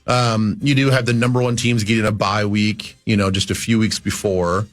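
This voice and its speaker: A man's voice: fairly low pitch, little pitch movement, moderate pace. A man hosting casual sports talk on a morning radio show, repeating himself hesitantly as he reasons.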